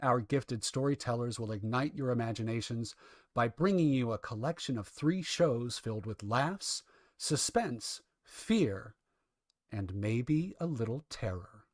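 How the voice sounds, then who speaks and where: A man with a mid-pitched voice announces an old-time radio program. He reads from a script in a formal, measured way, as a host presenting a show. The sound is close and dry, with very little room in it. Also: lively intonation, no echo.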